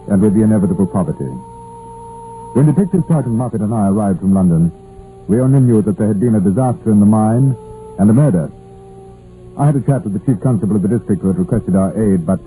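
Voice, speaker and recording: An actor playing a composed, sombre police inspector, measured and understated, in an old radio drama. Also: male voice, fairly low pitch, dark tone, close to an everyday voice. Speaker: narrator and lead detective. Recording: muffled old radio broadcast, hissy.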